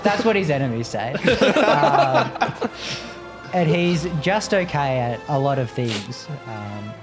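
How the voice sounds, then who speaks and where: A man's voice: medium pitch, very animated, takes it slowly. A man speaking casually as a player in a tabletop roleplaying game, improvising descriptions. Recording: clear music behind. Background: background music.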